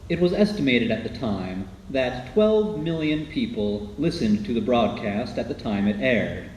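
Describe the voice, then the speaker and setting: Male documentary narrator, announcer style, widely swinging pitch, echoey sound. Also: lively intonation, measured pace, a little mellow. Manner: dramatic, announcer-like. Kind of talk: scripted documentary narration for radio. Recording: echoey, faint background noise.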